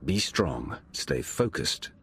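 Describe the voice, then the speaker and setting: Very deep, terse game ally. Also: male voice, big pitch swings, takes it slowly, a bit bright, slight background noise, full character voice. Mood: reassuring. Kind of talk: video game cutscene dialogue.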